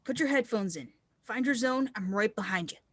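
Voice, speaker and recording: Woman acting a teenage snowboarder, high-pitched, dry sound, upbeat, supportive, screenplay read. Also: expressive, a little fast, slightly rough, no room sound.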